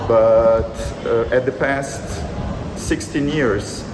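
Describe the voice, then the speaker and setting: A man's voice: mid-range voice, very slow, background noise. A man from southern Brazil with a Brazilian Portuguese accent, answering a street interview in English, hesitant and earnest, with many fillers and restarts. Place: outdoor polling site in a busy city crowd. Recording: echoey street with loud crowd.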